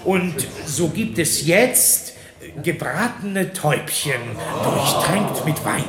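High-voiced, ceremonious monk in echoing hall. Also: male voice, expressive. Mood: ceremonious relish. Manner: deliberate, ceremonious, savouring each item. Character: monk announcing a feast menu with pompous relish. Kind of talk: radio drama acting. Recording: echoey hall with crowd murmur.

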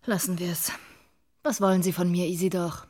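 Woman playing annoyed sarcastic lady, cool and a bit low, radio drama. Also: flat delivery, brisk, a little mellow, close to an everyday voice. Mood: wry. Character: cool, irritated, sarcastic woman roused at night. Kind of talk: German radio drama acting.

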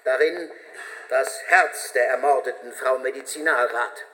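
A man narrating a documentary radio play in a measured, factual way, recorded in an echoing space. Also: medium pitch, sharp tone, a little hiss or hum. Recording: echoing room with long reverb.

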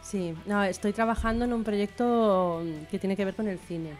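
A woman's voice: medium pitch, moderate pace, darkish tone, faint music behind. A middle-aged bilingual Catalan and Spanish woman with a Barcelona accent, explaining her writing chattily as an interview guest. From Barcelona, Spain. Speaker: guest writer. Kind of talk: informal interview conversation.

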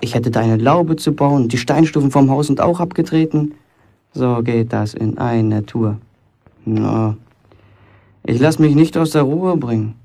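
A young village apprentice bricklayer in a radio play, eager to impress. His voice is gravelly and mellow, with a husky edge. He talks colloquially and boastfully, as if confiding his own importance. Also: mid-range voice, measured pace. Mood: self-important. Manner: boastful, chatty inner monologue, colloquial. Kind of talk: German radio play acting, first-person monologue.

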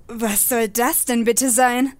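A woman playing an exasperated, sharp-tongued adventurer in a comedy audio play, talking in a sarcastic, scolding way. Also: on the high side, steady tone, slow, dry recording.

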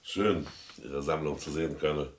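An actor playing a seasoned, discreet art appraiser in a German crime radio play, deliberate, polite and soothing. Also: male voice, fairly even intonation, a little hiss or hum. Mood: courteous, tactful. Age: middle-aged or older. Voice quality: very deep, husky.

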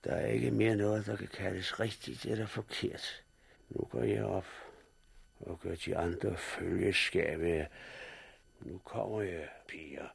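A despairing blind drunk. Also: male voice, low voice, steady tone, gravelly, slightly rough, full character voice. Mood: reckless. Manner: slurred drunken rambling, muttering. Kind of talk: 1960s radio drama acting. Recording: vintage radio broadcast.

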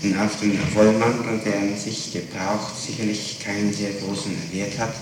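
Low-voiced man questioning formally, echoey old tape. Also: little pitch movement. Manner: deliberate, precise, insistent. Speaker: prosecutor questioning a witness. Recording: echoey hall from far off, noisy archival tape.

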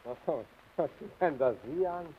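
An actor playing a lonely, wry, quietly defiant man in a German radio drama, on a muffled old recording. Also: a little high, moderate pace, close to an everyday voice. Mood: wry, amused.

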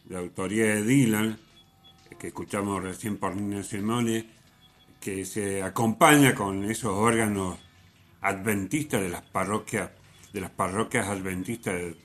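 Slow, halting man hosting radio. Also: sits low. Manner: halting, searching for words, with repetitions. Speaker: radio host. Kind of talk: reflective music commentary on a radio programme.

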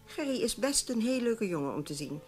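An actress playing a shrewd, frank former newspaper secretary who gives knowing advice in a radio drama. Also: female voice, on the high side, very animated, brisk, a little mellow, husky, soft background music. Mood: shrewd, advising. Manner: knowing, advisory. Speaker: actor.

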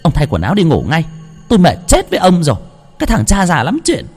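Cranky old wife scolding her man, squeaky high and fast. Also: big pitch swings, faint music behind. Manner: nagging, cursing.

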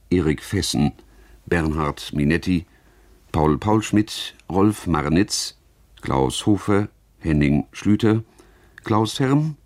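Male announcer, very deep and unhurried, standard German, formal credit read, close dry acoustic. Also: a little mellow, no room sound. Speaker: radio announcer. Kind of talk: reading radio play credits.

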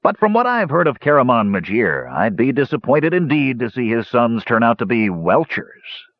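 A man performs a cunning, jovial old-tavern sort of dwarf for an audiobook. His voice is husky and lively in pitch, mock-solemn and cajoling. The recording is dry and a little muffled. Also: moderate pace, no room sound. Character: sly, jovial pot-bellied bearded male dwarf adventurer. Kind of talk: audiobook character voice.

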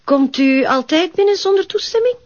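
A woman's voice: very high-pitched, expressive, normal speed, highs cut off, slight background noise. An actress with a Flemish accent playing a young, polite, hesitant and inexperienced woman in a naturalistic TV drama. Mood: flustered, indignant. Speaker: actor.

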